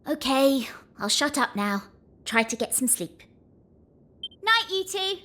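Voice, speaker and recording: High-pitched teasing young girl adventurer. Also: very animated, slow pace, sharp tone, full character voice. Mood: chatty, snide. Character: teasing, bossy young girl adventurer, bravado over homesickness. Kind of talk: video game voice acting.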